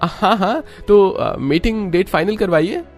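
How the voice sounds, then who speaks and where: A man's voice: high-pitched, a little slow, pitched above the performer's own voice. A man voicing an earnest young husband and father-to-be in a cartoon story. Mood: worried.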